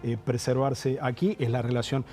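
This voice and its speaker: A man with an Argentine Spanish accent gives political analysis as a guest in a TV interview, explaining things in a measured, formal way. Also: mid-range voice, little pitch movement, warm tone, faint music behind.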